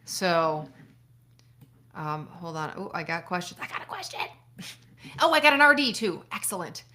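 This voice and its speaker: A woman's voice: on the low side, big pitch swings, normal speed, pushed and bright. A middle-aged woman from upstate New York, a doctor chatting casually on a live stream, answering viewer questions, laughing often and swearing now and then. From Buffalo, New York.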